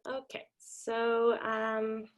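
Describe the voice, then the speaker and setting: A woman's voice: on the high side, flat delivery, extremely slow. A woman from Denmark who grew up in Singapore and the US, hosting a conference welcome over a video call in a casual, informal way with many ums and small laughs. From Denmark, raised in Singapore and the US. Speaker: conference organizer and host. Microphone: computer microphone on a video call.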